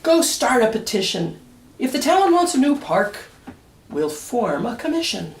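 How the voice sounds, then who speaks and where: A woman voicing a decisive, commanding town mayor in a picture book, speaking loudly and emphatically. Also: on the high side, very animated, unhurried. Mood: exasperated, commanding. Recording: echoey room, distant microphone.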